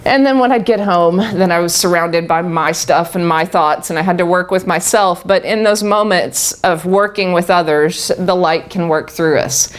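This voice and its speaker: A woman's voice: fairly low pitch, normal speed, hardly any pauses. A middle-aged woman telling a group her own story, laughing often and restarting her sentences. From Texas. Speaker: guest speaker. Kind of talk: personal talk to a live group.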